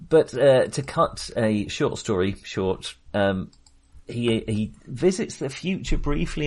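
A man's voice: lowish voice, a little mellow. A man co-hosting a casual film discussion, rambling and often interrupting himself as he searches for words. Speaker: film podcast co-host.

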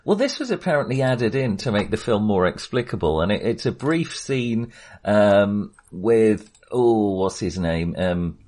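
A man's voice: lowish voice, very animated, unhurried, a little mellow. A middle-aged British man in casual film discussion as a podcast co-host, often hesitant and searching for words.

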